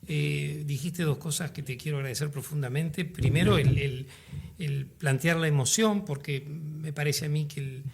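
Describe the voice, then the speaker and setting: Reflective Uruguayan playwright guest. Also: male voice, a little high, fairly even intonation, gravelly. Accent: Uruguayan Spanish. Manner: reflective, measured, searching for words. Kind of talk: interview conversation.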